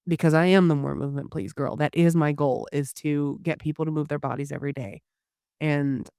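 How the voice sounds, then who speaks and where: A hoarse woman giving a candid personal health update to her listeners, with frequent pauses and restarts. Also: deep voice, dry recording, studio quality. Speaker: podcast host.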